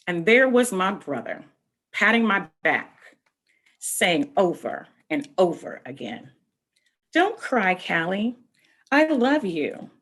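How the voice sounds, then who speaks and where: A woman reading a picture book aloud to children, explaining things simply with asides and questions in a slightly husky voice. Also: lowish voice. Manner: expressive, explaining simply and addressing young listeners with questions. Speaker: read-aloud host for children.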